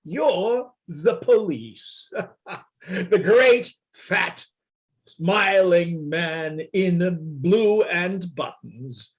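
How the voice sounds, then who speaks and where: Man as bitter anarchist poet, high-pitched, slow delivery, scornful, declamatory, radio drama. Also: expressive, exaggerated voice. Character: bitter red-haired anarchist poet, accusing and destructive. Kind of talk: dramatic acting in an amateur radio play reading. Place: remote reading over a video call.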